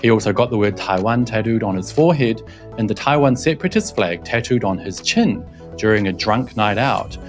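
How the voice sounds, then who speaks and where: Scornful young male news host. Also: lowish voice. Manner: scornful, mocking. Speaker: host, reporter. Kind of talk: scripted commentary. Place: Shanghai.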